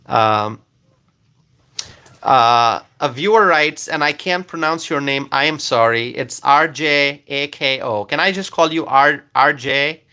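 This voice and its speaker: A man's voice: mid-range voice, slow, bright, forceful tone, smooth. A man whose first language is Bengali, hosting a casual live stream, reading viewer comments aloud and thanking people by name, with frequent fillers.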